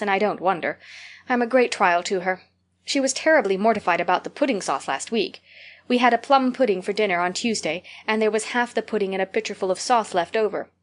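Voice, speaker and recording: A woman's voice: medium pitch, close to an everyday voice. A woman reading aloud, voicing an imaginative, talkative little girl who gushes breathlessly. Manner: gushing, breathless storytelling. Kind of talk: audiobook character reading.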